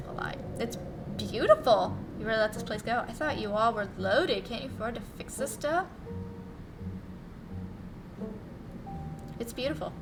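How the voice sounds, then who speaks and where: A woman's voice: fairly high pitch, expressive, brisk, music in the background, lightly acted, rough recording. A woman voicing an eager, awkward, cheekily blunt newcomer in a visual novel, chatty and casual. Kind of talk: visual novel character read-aloud.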